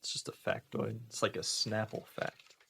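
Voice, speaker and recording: A man with a fairly low voice hosts an amateur comedy radio segment. He reads written one-liners aloud and chats casually in between them. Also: steady tone, moderate pace, gravelly, sharp tone, a little hiss or hum. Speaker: host of a comedy radio variety show.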